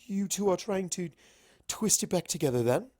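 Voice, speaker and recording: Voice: medium pitch, animated, normal speed, darkish tone, pitched above the performer's own voice, natural voice. A narrator voicing a refined, theatrical young female unicorn pony with prim, emphatic delivery in a storybook reading. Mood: curious.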